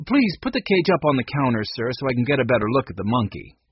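A man voicing a smug, officious shop clerk in a storybook, with a brisk, self-satisfied manner. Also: mid-range voice, sing-song, husky, no room sound, dull audio. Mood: businesslike.

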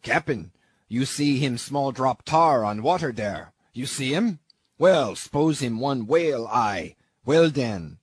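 A man doing a terse, slow harpooner. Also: mid-range voice, animated, slightly rough. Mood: calm. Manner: slow, clipped broken English. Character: calm, terse male harpooner, broken English.

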